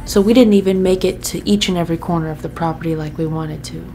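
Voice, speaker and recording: A woman's voice: lowish voice, fairly even intonation, fast, background noise. A young woman narrating her vlog in a casual, candid, upbeat way. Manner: upbeat, open and candid about hardship. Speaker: vlogger. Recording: voice-over over clear background music.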